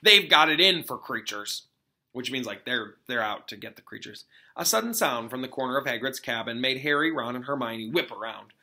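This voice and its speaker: Male teacher doing a storybook read aloud, bright forceful voice, explains stuff simply as he goes. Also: mid-range voice, animated, fairly quick, gravelly. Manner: explains simply to young listeners.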